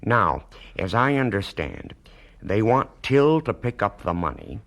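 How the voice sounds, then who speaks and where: An actor playing a cool, shrewd investigator in a 1970s radio drama, with a clipped, businesslike, authoritative manner. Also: male voice, on the low side, wildly swooping pitch, measured pace, vocal fry, a bit bright, exaggerated voice. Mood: brisk, commanding.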